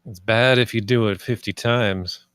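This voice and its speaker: A man's voice: fairly low pitch, steady tone, a little slow, fairly bright tone, clean tone, no room sound, studio quality. Man playing a wry, easygoing, ailing guy. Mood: wry, matter-of-fact. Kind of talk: screenplay table read.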